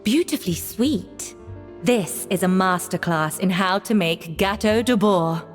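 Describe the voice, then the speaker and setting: An actress playing a discerning, frank and effusive food judge in a video game, speaking like a connoisseur. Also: female voice, mid-range voice, animated, unhurried, big-room sound, faint music behind, natural voice. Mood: delighted. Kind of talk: video game cutscene acting.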